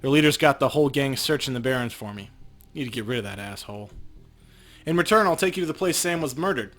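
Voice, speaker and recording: A man acts out a world-weary video game street runner. He talks tough and wry, like someone used to trouble. His pitch sits in the middle of the male range, with game music underneath. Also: animated, fast talker, fairly bright tone, lightly acted. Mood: gruff, persuading. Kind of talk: reading video game dialogue aloud.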